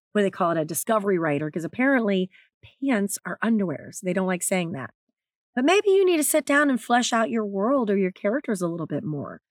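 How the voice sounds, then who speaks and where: A woman with a naturally raspy, slightly strained voice, hosting her own podcast in a candid, chatty way. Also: medium pitch, no room sound, studio quality. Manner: candid, chatty, self-deprecating. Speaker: podcast host and author. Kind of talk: solo podcast talk.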